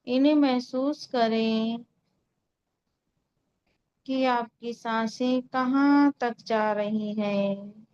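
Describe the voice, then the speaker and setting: A woman leading a guided meditation in a calm, soothing way, giving unhurried instructions with long pauses. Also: on the high side, clear, resonant tone, dull audio. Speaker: meditation guide and Reiki healer.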